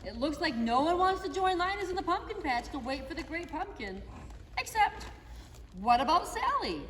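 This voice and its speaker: A woman narrating a children's reader's theater story in an expressive, animated way, recorded from afar in an echoey hall. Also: very high voice, normal speed, slight background noise. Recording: echoey hall, mic far off.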